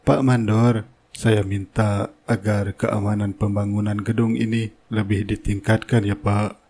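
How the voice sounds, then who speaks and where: A man's voice: on the low side, little pitch movement, measured pace, mellow, a little hiss or hum. A man voicing a courteous, authoritative wealthy building owner and father in a cartoon drama. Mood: formal, firm.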